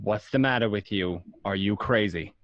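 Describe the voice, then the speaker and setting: A man's voice: fairly low pitch, moderate pace, a bit bright, no room sound, muffled recording, close to an everyday voice. A man playing an agitated gangster in a radio drama, with a pleading, exasperated manner. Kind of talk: amateur radio drama acting.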